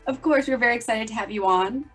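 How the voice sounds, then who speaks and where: A woman's voice: a little high, fast, faint music behind. A young woman co-hosting a podcast, introducing the show and interviewing a guest in an upbeat, attentive way. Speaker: podcast co-host.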